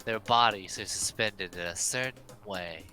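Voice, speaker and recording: Smug young male aristocrat , played by a man, declaiming in a lowish sharp voice over faint game music. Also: moderate pace. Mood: lecturing. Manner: pompous, declaiming. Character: haughty, pompous young heir relishing a murder mystery.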